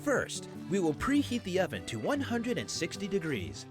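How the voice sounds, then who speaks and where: A man's voice: high voice, huge pitch swings, normal speed. A man voicing an enthusiastic young student instructor giving directions in a visual novel game. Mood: enthusiastic, instructive. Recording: music playing behind the voice.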